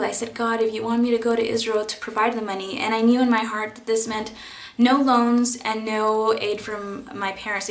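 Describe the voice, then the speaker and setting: A woman's voice: fairly high pitch, a bit bright, roomy sound. A young woman, a college student, sharing her faith story in a personal vlog, speaking earnestly and reflectively with frequent fillers and restarts. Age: young adult.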